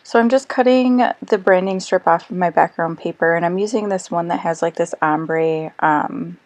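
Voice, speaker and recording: A woman who is slightly hoarse and losing her voice, chatting casually through a crafting voice-over with lots of ums and little self-corrections. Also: on the low side, moderate pace, no room sound. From Australia. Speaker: scrapbooking crafter. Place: quiet room, recorded voice-over.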